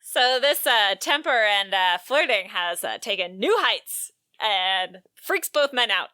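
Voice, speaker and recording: Woman, podcast co-host. Book discussion, crisp bright tone, very dry recording. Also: mid-range voice, animated, slow, no echo. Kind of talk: book discussion with plot recap and read quotes.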